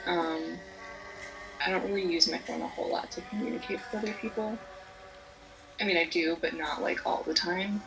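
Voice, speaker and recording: A twenty-year-old woman with a fairly low voice answers plainly and easily. Clear music plays behind her. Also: steady tone, moderate pace, long pauses, poor audio. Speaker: psychology student. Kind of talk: casual interview answers.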